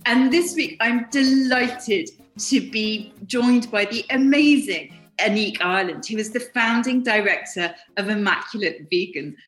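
A woman's voice: fairly high pitch, a little slow, few pauses, fairly bright tone, reverberant, soft background music. A woman hosting an interview podcast, gushing and stammering through repeated words. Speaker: podcast host.

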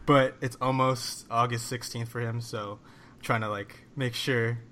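A man hosting a casual interview, upbeat and quick to laugh. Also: medium pitch, fairly even intonation, pushed and bright. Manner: enthusiastic, laughs often. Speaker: interviewer host.

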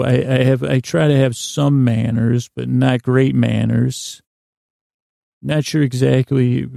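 Very gravelly, meandering male bedtime podcaster. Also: mid-range voice, mellow, no room sound, studio quality. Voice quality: very creaky, gravelly. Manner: meandering, halting, self-deprecating, digressive. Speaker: podcast host. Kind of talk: rambling bedtime-story podcast intro monologue.

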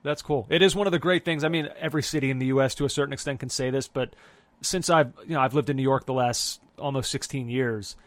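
A man's voice: mid-range voice, fast, dry recording. Upbeat man hosting a podcast, dry mic. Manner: enthusiastic, steers the show, rambling asides. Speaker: podcast host. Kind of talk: casual soccer podcast hosting.

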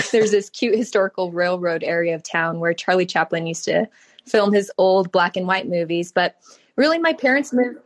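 Woman guest in her thirties, from California, relaxed lower voice, telling her story brightly. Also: normal speed. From California, USA. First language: Mandarin Chinese. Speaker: podcast guest, startup founder. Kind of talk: conversational interview storytelling.

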